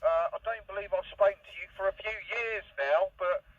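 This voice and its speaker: A man's voice: high-pitched, normal speed, a bit bright, no room sound, muffled recording. A man chatting casually over CB radio, giving call signs and signal reports. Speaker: CB radio operator. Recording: distant, noisy CB radio transmission.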